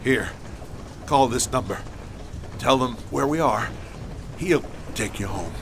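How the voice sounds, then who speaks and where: A man plays a weary, aging superhero in a radio play, badly hurt and struggling for breath. The delivery is slow and gravelly, strained with pain, over loud ambient noise. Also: medium pitch, lively intonation. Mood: pained, exhausted. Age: middle-aged. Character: aging wounded male masked superhero, weary and gritty. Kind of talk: superhero audio drama acting.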